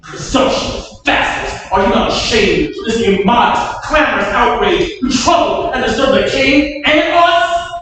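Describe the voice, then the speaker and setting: A woman's voice: a little high, animated, a little slow, fairly bright tone. A woman playing a stern nobleman at court, declaiming emphatic, scolding Shakespearean verse in a staged reading. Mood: outraged. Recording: echoey hall, distant mic.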